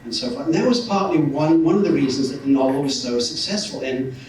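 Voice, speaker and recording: An older English man gives an informal talk as a retired academic. He speaks haltingly and reflectively at a middling pitch, picked up from a distance with strong echo and faint noise. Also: a little mellow. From north of England. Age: 60s or older. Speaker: retired university lecturer, guest speaker. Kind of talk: informal public speech.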